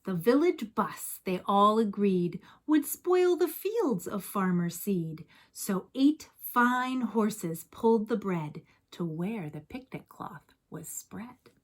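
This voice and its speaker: A woman's voice: mid-range voice, very animated, slow pace, hardly any pauses. A woman reading a picture book aloud like a teacher, expressively, with chatty asides and questions to young listeners.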